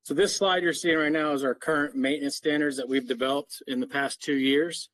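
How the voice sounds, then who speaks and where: A man's voice: on the high side, steady tone, brisk, a bit bright, no echo, rough recording. A middle-aged man giving an explanatory, businesslike slide presentation as a parks maintenance official on a video call. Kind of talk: slide presentation to a board meeting.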